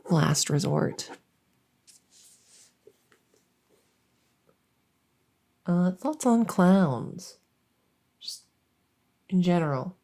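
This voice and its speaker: Woman as a slow, creaky-voiced, awkward monster. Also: sits low, dark tone, a little hiss or hum. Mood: hesitant, curious. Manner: rambling, hesitant, halting, awkwardly earnest. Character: silly, harmless female monster under the bed, awkwardly earnest. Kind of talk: ASMR audio roleplay, one-sided improvised-sounding interview.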